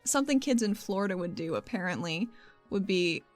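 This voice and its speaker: A woman's voice: mid-range voice, lively intonation, a little fast. A woman improvising her character's actions in a tabletop roleplaying game, in an informal, giggly way. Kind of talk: casual improvised roleplay narration. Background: background music.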